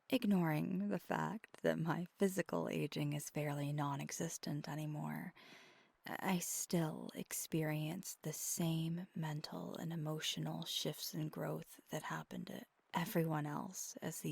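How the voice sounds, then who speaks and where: A woman playing a wistful, tender vampire girlfriend in an intimate ASMR roleplay, confiding in a soft, halting, breathy half-whisper close to the mic. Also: low voice, no echo, full character voice. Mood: tender, reflective. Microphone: close microphone.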